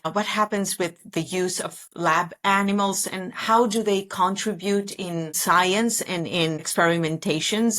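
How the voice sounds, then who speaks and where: A woman's voice: mid-range voice, a bit bright. A woman speaking as a podcast host, enthusiastic and complimentary as she presents and interviews. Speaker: veterinary specialist. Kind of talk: scripted introduction and interview talk.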